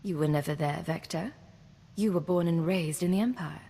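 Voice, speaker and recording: A woman's voice: fairly low pitch, moderate pace, dark tone, in a large hall. An actress voicing a composed, direct female imperial secret agent in a video game. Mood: composed, questioning. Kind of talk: scripted video game voice acting.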